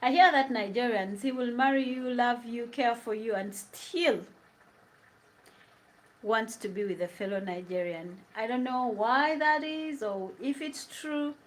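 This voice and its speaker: A woman's voice: a little high, measured pace, rough recording. A young woman with a Ugandan accent, chatting casually and laughing often as she cooks at home and reads out viewers' questions. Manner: chatty, laughs often, drifts between English and Luganda. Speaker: vlogger. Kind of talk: casual chat while cooking, answering live comments. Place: home kitchen.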